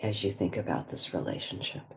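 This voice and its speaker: A woman leading a guided meditation, speaking gently and without hurry, leaving long pauses between phrases. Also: extremely low pitch, lively intonation. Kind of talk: guided meditation, slow and spoken softly.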